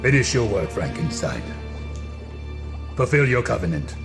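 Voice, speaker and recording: An actor playing a brooding, menacing monster who longs for love, speaking solemnly and commandingly in an audio drama. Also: male voice, mid-range voice, big pitch swings, a little slow, vocal fry, over music. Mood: menacing, demanding.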